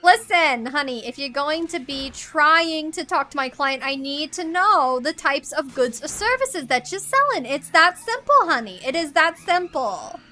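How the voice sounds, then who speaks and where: A woman's voice: high-pitched, expressive, unhurried, few pauses, bright, forceful tone, heavily acted. A woman playing a brash, exasperated nagging mom with a nasal New York accent, combative and repetitive. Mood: indignant. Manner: combative, repetitive, swearing, mock-offended.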